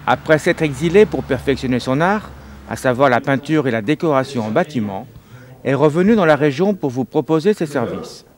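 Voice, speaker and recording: A man presenting a local craftsman and asking him interview questions in a clear presenting tone. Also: mid-range voice, expressive, fairly quick.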